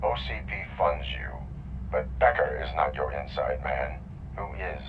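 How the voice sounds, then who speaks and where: An actor playing a stoic, unyielding cyborg policeman in a video game, with clipped, deadpan, robotic delivery. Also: a bass voice, unhurried, phone-quality sound, noisy background. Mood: cold demanding. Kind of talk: video game cutscene acting.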